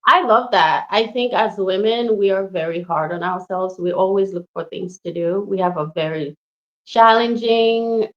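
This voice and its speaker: A woman's voice: mid-range voice, lo-fi sound. A woman in her thirties from Haiti, chatting casually and effusively about herself as an interview guest. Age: 30s. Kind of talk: casual personal chat.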